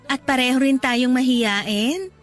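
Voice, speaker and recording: A woman's voice: high-pitched, unhurried. A woman with a Filipino accent playing a playful, encouraging young volunteer in a conversational radio drama. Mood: friendly, encouraging. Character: friendly, encouraging, outgoing young woman volunteer, a self-described introvert. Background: background music.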